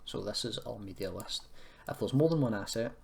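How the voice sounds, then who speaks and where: Guy with a lowish voice walking thru a computer tutorial, kinda hesitant and self-deprecating, bit of noise n keyboard clicks. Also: expressive, fairly quick, creaky, darkish tone. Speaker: tutorial presenter. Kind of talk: informal software tutorial, screen walkthrough. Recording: noisy room with keyboard clicking.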